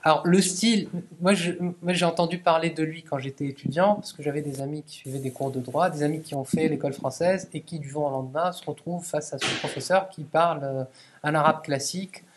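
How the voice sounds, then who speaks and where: Hesitant man. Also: a little high. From Tunisia. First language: Tunisian Arabic. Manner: hesitant, many restarts and fillers. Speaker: essayist answering audience questions. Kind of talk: conference talk.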